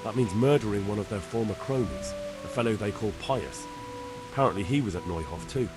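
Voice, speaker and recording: A man's voice: on the low side, a little fast, over music, close to an everyday voice. An actor playing a young man in a lord's service in a game, plain-spoken and deferential. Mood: troubled. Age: young adult. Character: earnest young medieval man serving a lord. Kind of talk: video game cutscene acting.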